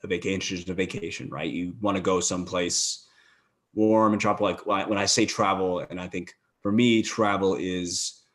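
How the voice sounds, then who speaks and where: A man's voice: sits low, fairly even intonation, normal speed, a bit bright. A man talking as a podcast guest in a thoughtful way, with lots of "you know" fillers. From United States. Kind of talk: casual conversation.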